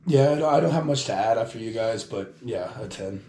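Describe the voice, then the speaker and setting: Husky-voiced man losing his voice. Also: fairly low pitch, lively intonation, brisk, dark tone. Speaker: album review co-host. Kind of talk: casual music discussion. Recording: roomy echo with music.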